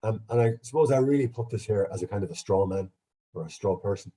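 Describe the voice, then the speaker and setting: Bunged-up Irish man, dark husky voice. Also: lowish voice, fast talker. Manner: relaxed, chatty, self-deprecating. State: bunged up with a cold. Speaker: guest speaker, archaeologist. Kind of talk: online lecture with slides. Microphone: video call.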